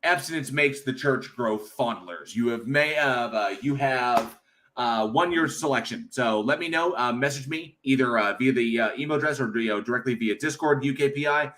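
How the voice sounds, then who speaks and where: A man's voice: medium pitch, normal speed, a bit bright, slight background noise. An American man hosting a casual livestream, rambling with many fillers and reading chat aloud from home. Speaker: livestream host.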